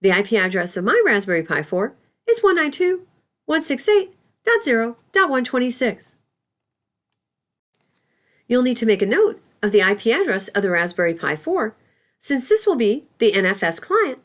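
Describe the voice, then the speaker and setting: A woman instructor reading a scripted tutorial in a careful, instructional way. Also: on the high side.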